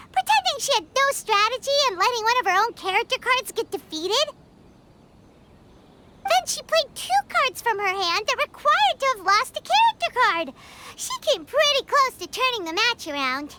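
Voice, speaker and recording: Little anime fairy sidekick, extremely high squeaky voice, animated, childlike, excitable. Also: female voice, normal speed, very noisy. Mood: excited. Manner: speaks of herself in third person. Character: small excitable childlike female fairy companion. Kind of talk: anime game voice acting.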